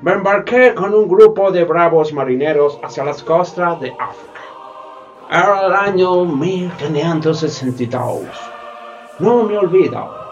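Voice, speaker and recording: A greedy, hypocritical sea captain. The voice is high and swoops up and down, taking its time with stammers and theatrical flourishes. It is a comic radio-play villain over music. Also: male voice, animated, unhurried, lo-fi sound. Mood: smug boasting. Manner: theatrical, stammering, peppers speech with English words. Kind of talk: community radio theatre acting. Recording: music bed behind the voice.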